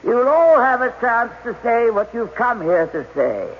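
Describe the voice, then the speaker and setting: An actor playing an authoritative, evasive general in 1980s radio drama, speaking in a measured, formal, commanding way. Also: male voice, very high voice, sing-song, full character voice. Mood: firm, orderly. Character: authoritative alien army general, diplomatic, evasive, weary of questions. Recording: dull, noisy old radio broadcast.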